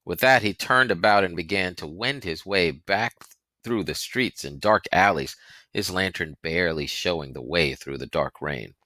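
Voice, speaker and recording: A man's voice: deep voice, normal speed, bright, forceful tone, no echo. A man reading a novel aloud as a dramatic, scripted narration. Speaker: audiobook reader.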